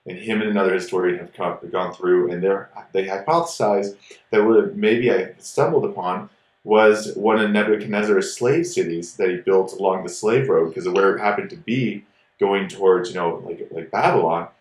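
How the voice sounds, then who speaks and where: A man who grew up in Ecuador tells stories as a podcast co-host, rambling and animated, with "like" in nearly every phrase. His voice sits low. The room around him is echoey. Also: normal speed. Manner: rambling and animated, many "like" fillers. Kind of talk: casual storytelling chat.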